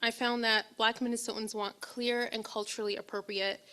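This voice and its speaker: A woman's voice: fairly high pitch, level tone, brisk, fairly bright tone, clear, resonant tone. A young woman from Ethiopia, a public health researcher, speaking earnestly on a panel with many fillers and restarts. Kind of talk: panel talk.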